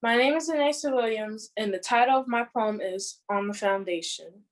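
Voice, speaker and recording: A woman's voice: on the high side, normal speed, poor audio. A young woman reading her own poem in a formal recital. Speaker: poet reading own work.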